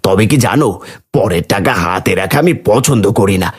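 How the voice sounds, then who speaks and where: A man's voice: on the low side, expressive, moderate pace, breathy edge, voice pushed down. A man voicing a greedy, two-faced merchant in a cartoon folk tale, feigning honesty and protesting with rhetorical questions. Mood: smooth assurance. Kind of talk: cartoon storybook character voice. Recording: long hall-like reverb.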